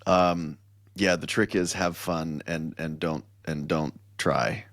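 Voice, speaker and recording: A middle-aged man hosting a casual podcast chat, excitable and joking, rambling through his questions. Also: extremely low pitch, slow, dry recording, studio quality. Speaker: podcast host.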